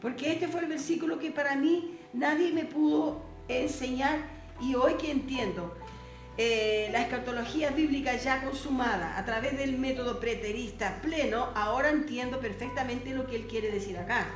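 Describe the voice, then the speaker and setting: A woman's voice: high-pitched, moderate pace, roomy sound, faint music behind. A woman whose first language is Portuguese, teaching a Bible seminar lesson, reading verses aloud and asking rhetorical questions.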